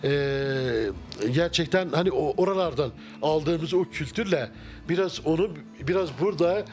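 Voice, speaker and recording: A middle-aged man, a restaurant owner, answering interview questions in a relaxed, halting way with many fillers and restarts, inside a wooden-walled restaurant. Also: a little high, soft background music. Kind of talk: casual interview. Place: wood-paneled restaurant interior. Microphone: handheld mic held by interviewer.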